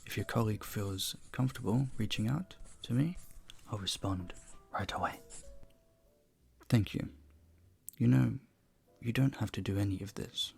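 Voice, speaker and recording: A man playing an earnest, courteous, flirtatious vampire bartender in an intimate audio roleplay, speaking softly, politely and deliberately. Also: mid-range voice, gravelly, breathy edge. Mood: hopeful.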